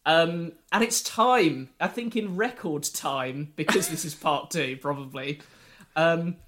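Male podcast host, slightly high-pitched, wry and jokey, unhurried. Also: fairly bright tone. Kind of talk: casual podcast chat.

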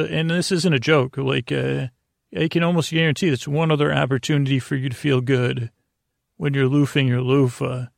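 A man with a creaky voice hosting a bedtime podcast in a lulling, friendly way, meandering and halting through tangents. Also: mid-range voice, dry recording, studio quality. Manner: meandering, halting, tangent-prone, self-interrupting. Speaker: podcast host. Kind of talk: rambling bedtime monologue to help listeners sleep.

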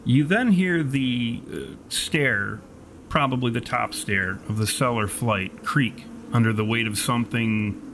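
Man, mid-pitched voice, improvising horror game narration, descriptive and self-correcting, light noise behind. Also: unhurried. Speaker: game master. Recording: steady background noise.

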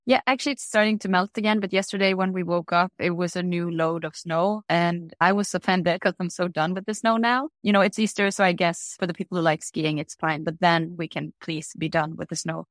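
A woman's voice: fairly low pitch, fairly even intonation, fairly quick, few pauses, no echo, studio quality. A woman from Norway with a Norwegian accent, answering interview questions in a candid, chatty way. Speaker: interview guest.

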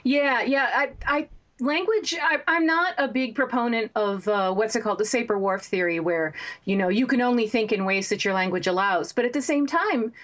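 A woman novelist as an interview guest, answering in a thoughtful, measured way with frequent fillers. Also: fairly high pitch, a bit bright. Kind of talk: interview conversation.

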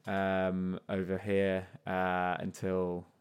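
A man's voice: deep voice, completely flat delivery, drawn-out words, smooth. A man, an artist guest, talking in a loose, reflective and easygoing way with frequent restarts. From grew up in Portugal, then England. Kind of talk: casual conversational interview.

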